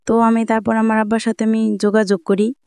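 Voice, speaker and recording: A woman's voice: on the high side, flat delivery, a little fast, a little mellow, clear, resonant tone, no echo, studio quality. A young woman with a colloquial Dhaka-area Bangladeshi Bengali accent tells her life story in a plain-spoken, repetitive, rambling way. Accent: Bangladeshi Bengali, colloquial Dhaka-area dialect. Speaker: guest telling own life story.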